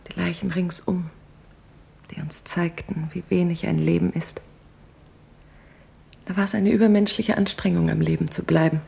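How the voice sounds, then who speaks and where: An actress playing a weary, sombre survivor of a camp, giving halting, grave testimony in a breathy, husky German radio drama monologue. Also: female voice, on the low side, mellow, full character voice. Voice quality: breathy, husky, cracking into whispers.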